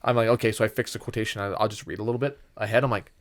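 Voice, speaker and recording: A man in his thirties co-hosting a podcast, telling stories in casual, animated talk with free swearing and acted-out reactions. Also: on the low side, very fast. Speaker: podcast co-host. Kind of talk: casual chat.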